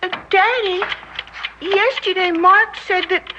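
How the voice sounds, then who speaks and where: A very high, timid little girl. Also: slow pace. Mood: curious. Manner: questioning, timid. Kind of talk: 1960s radio drama acting. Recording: dull old radio recording with noise.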